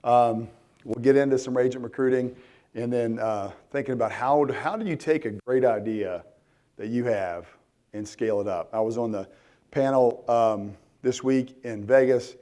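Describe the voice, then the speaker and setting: A man in his forties with a worn-out, hoarse voice, speaking casually at a conference and filling pauses with lots of ums and restarts. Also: mid-range voice, warm tone. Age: 40s. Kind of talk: live conference talk. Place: conference hall.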